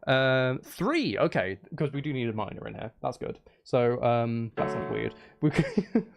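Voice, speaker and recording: A man's voice: medium pitch, sing-song, measured pace, gravelly. A man chatting casually on a live stream as he makes music, geeky and playful, thinking aloud in a rambling way.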